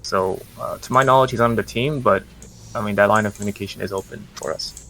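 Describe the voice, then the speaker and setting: A man representing a project, talking through his business plans in a casual interview, measured and full of fillers and restarts. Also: lowish voice, fairly bright tone.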